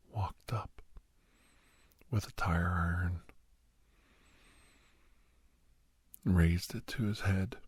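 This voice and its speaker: A man playing a grave doctor in an ASMR roleplay, telling a haunting memory in a hushed, halting, breathy voice that often drops to a whisper. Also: low-pitched, dry recording, heavily acted. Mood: awed, intense. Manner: halting, hushed, dramatic delivery. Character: grave male doctor recounting a frightening memory to youngster.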